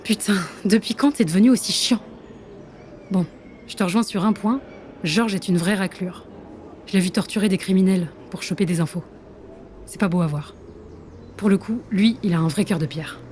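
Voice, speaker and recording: An actress playing a blunt but caring intelligence agent in an audio drama, frank and slangy. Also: female voice, medium pitch, moderate pace, pauses often, darkish tone, a little hiss or hum, lightly acted. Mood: frank concern.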